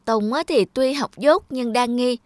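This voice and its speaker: A woman voicing a mischievous, expressive little boy in a cartoon dub, with a childlike manner. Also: a little high, a little slow, no room sound, clean studio sound, close to an everyday voice. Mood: scheming. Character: mischievous little boy prankster who turns remorseful. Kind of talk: cartoon dubbing. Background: music.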